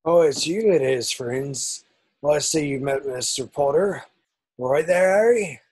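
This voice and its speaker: A man playing a gruff, kindly, soft-hearted gamekeeper in an amateur screenplay table read. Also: on the high side, unhurried. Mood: relieved.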